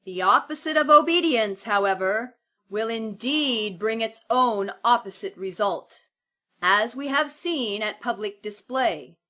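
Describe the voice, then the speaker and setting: Stern female cleric, played by an actress, high bright voice, slow solemn declamation for dystopian audio drama. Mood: fervent, foreboding.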